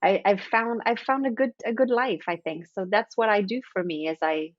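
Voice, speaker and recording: A woman's voice: medium pitch, moderate pace, lo-fi sound, crowd noise. A woman whose first language is French, chatting as a guest author in a casual interview, often restarting her sentences and acting out her own thoughts. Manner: chatty, with frequent restarts and acted-out inner thoughts.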